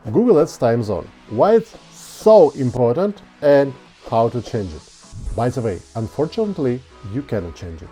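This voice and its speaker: A man's voice: medium pitch, warm tone. A man with a Ukrainian accent, a native Ukrainian speaker, giving an earnest step-by-step tutorial on ad settings. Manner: earnest, plain step-by-step explaining. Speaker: online ad instructor. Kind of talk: screen-share tutorial.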